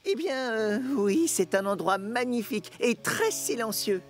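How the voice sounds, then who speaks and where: A woman's voice: on the high side, animated, a little slow, over music. A woman voicing an earnest, eager young male steam engine in a children's cartoon, boyish and slightly hesitant. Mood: friendly. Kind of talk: French-dubbed children's cartoon acting.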